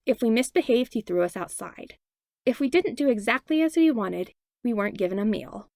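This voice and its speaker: A bitter teenage boy in an audiobook story, acted out by a woman, defiant and indignant. The delivery swings widely in pitch, with some creak, and sounds close and dry. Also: brisk, no echo. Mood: growling resentment. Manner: deadpan turning to indignant growl. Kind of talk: dramatized fan fiction audiobook reading.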